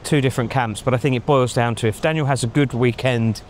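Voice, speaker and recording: A middle-aged man giving measured opinions in a casual outdoor chat, thinking aloud with frequent ums, on a lapel mic. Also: mid-range voice, steady tone, a little hiss or hum. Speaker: pundit. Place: outdoors in a racing paddock at night. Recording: faint outdoor street ambience.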